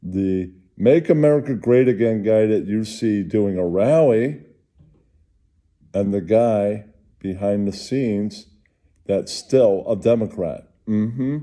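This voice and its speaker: Guy rambling off the cuff, really mellow dark voice, slow and halting, bit crude. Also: fairly low pitch. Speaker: host. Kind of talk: rambling casual political commentary.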